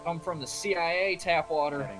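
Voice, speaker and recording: A man's voice: a little high, fairly even intonation, moderate pace, a bit bright, faint music behind. A man co-hosting a casual reaction video, tossing in blunt, crude quips. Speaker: co-host.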